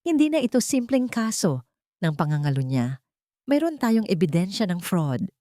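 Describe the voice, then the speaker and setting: A woman's voice: mid-range voice, sing-song, normal speed, mellow, no room sound, natural voice. A woman voicing a firm, serious, determined lawyer in an audio story. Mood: hard, resolute. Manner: serious, emphatic.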